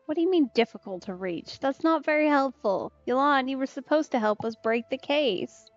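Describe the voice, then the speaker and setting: A woman's voice: high-pitched, lively intonation, moderate pace, no room sound, muffled recording. A woman giving casual live commentary as she plays a video game. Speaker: streamer, host of a game let's play. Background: video game music.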